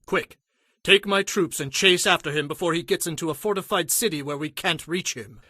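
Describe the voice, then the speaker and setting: A commanding king, played by a man, declaims decisively in a scripture drama. His voice is fairly high and forceful, recorded very dry. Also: normal speed, dry recording. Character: authoritative grown male king, decisive, magnanimous.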